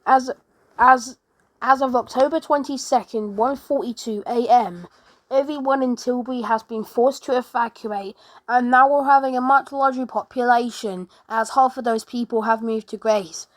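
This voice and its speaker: A woman narrating a homemade mock emergency broadcast in a halting way, often restarting her sentences. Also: a little high, fairly even intonation. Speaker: narrator of an emergency alert scenario video.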